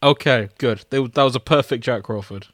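A man's voice: medium pitch, moderate pace, a bit bright, no room sound. A man chatting casually as co-host of a comic reading show. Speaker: co-host and reader. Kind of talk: casual chat.

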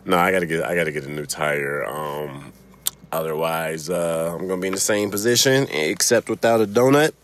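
A podcast host with a Chicago accent rambles through a loose, profane monologue while sitting in his car. His voice sits on the low side for a man, and he laughs often and swears freely. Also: moderate pace, fairly bright tone, slight background noise. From Chicago, USA. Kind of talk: casual solo podcast monologue.

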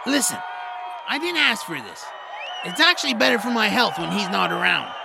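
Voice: medium pitch, sing-song, normal speed, gravelly, background noise. An actor playing a panicky, reluctant little male elf fighter in an audio drama, stammering and alarmed. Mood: flustered. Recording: loud music and crowd behind.

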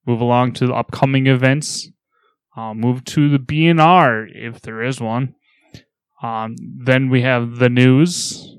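Smooth-voiced male podcast co-host, understated. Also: mid-range voice, fairly even intonation, a little slow, dry recording. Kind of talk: casual show rundown.

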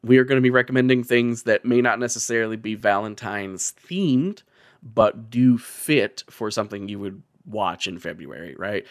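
A man's voice: fairly low pitch, normal speed, no echo. Wry man hosting, very dry close sound. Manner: wry, sweary, joking. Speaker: podcast host. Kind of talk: casual podcast chat.

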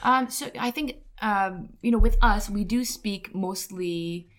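A woman in her forties talking casually as a guest, relaxed and chatty, with plenty of fillers and asides. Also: medium pitch, measured pace. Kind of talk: casual conversation.

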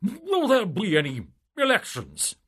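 A man voicing the soul of a pompous, corrupt male civil servant, talking in a haughty, grandiose, indignant way with a husky voice. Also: sits high, wildly swooping pitch, a little slow, a bit bright, voice pushed up, heavily acted.

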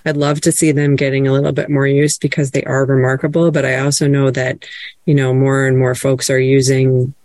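A woman's voice: a bass voice, level tone, a little fast, a little mellow, dry recording, clean studio sound. A woman librarian presenting casually on an online call, chatty, with frequent fillers and restarts.

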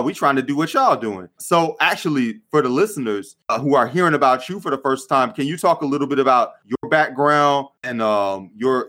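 A man's voice: mid-range voice, fairly bright tone. A man hosting a podcast, loose and joking with slangy asides and frequent laughs. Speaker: podcast host. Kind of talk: casual chat.

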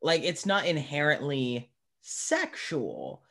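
A man's voice: fairly high pitch, wildly swooping pitch, slow, vocal fry, pushed and bright. A man hosting a podcast, chatting casually and often rephrasing as he speaks. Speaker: podcast host interviewing a guest. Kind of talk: casual interview chat.

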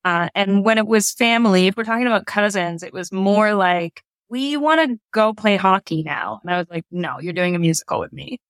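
A woman's voice: medium pitch, brisk, hardly any pauses, clean tone, no echo. A woman talking casually as an interview guest, telling things anecdotally and repeating words for emphasis. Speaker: podcast guest, audio drama creator.

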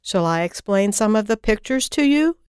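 A woman voicing a kindly but firm fairy in a storybook, patient and measured as she teaches. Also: mid-range voice, lively intonation, a little mellow, smooth, no room sound, clean studio sound, close to an everyday voice. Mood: gentle, encouraging.

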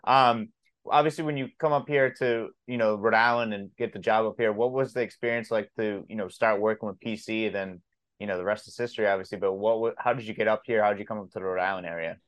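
A man's voice: on the low side, steady tone, quick pace, fairly bright tone, dry recording. An American man hosting a podcast, chatting informally with his guest and chiming in appreciatively. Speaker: podcast host, interviewer. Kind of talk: casual chat interview.

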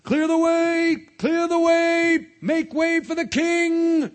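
Storybook royal guard, played by a male narrator: high-pitched, bellowed orders, urgent and commanding. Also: little pitch movement, slow pace. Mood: loud, urgent. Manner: shouted commands.

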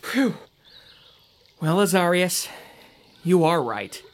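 An actor playing an earnest, excitable young male traveler in an audio drama, expressive and sometimes hesitant. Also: sits high, slow pace, full character voice. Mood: curious, amazed. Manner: expressive, wide-eyed. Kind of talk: scripted audio drama acting.

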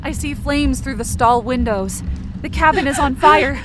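A high-voiced woman tells a first-person horror story. Her delivery is dramatic and urgent, with clearly noisy ambient effects behind her. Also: moderate pace. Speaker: podcast writer and performer. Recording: loud sound effects and noise behind.

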